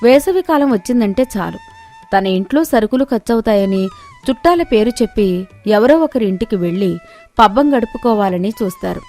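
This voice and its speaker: Casting: female narrator for moral stories, fairly high voice, scripted read over soft music. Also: animated, normal speed. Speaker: storyteller.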